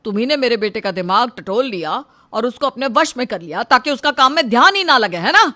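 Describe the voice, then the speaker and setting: A woman's voice: on the high side, sing-song, a little fast, pushed and bright, voice pushed up. A woman voicing an angry, domineering middle-aged shopkeeper father-in-law in a moral story, scolding and accusing. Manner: scolding, accusatory, self-important. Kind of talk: acted dialogue in a moral story.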